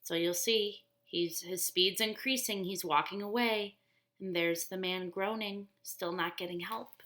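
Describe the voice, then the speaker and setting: A woman reading a children's story aloud expressively, deliberately, explaining things in simple words. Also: on the low side, fairly even intonation, fairly bright tone, slight background noise. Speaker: storybook reader for church children. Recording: roomy, echoey indoor sound.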